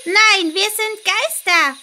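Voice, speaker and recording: A woman's voice: very high voice, takes it slowly, sharp tone, slight background noise, voice pushed up, exaggerated voice. A woman voicing playful, eerie child ghosts in an audio horror story, in a teasing sing-song manner. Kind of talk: audio story character acting.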